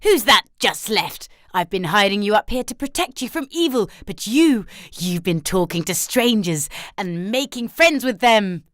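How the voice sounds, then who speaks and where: A woman's voice: mid-range voice, expressive, measured pace, few pauses, fairly bright tone, dry recording, clean studio sound. A woman voicing a furious, possessive fairy-tale witch in a cartoon, scolding dramatically. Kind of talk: cartoon villain acting.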